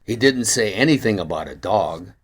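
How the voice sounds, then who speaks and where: A man's voice: lowish voice, lively intonation, moderate pace, husky. A man voicing a wry small-town police detective in a story reading, dry and skeptical. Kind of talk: acted dialogue in a story reading.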